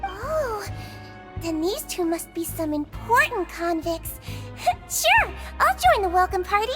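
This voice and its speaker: Game role: sweet little nurse, very high girlish voice, lively intonation, music behind. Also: takes it slowly, heavily acted. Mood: cheerful, welcoming. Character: small, sweet, childlike female prison head nurse. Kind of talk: video game voice acting.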